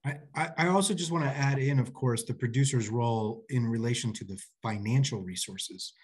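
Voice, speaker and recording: A man in his forties explaining in deliberate, measured phrases as a panelist on a video call. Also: mid-range voice, slightly rough. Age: 40s. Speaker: panelist, theater producer. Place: indoor office. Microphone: video call, laptop at desk distance.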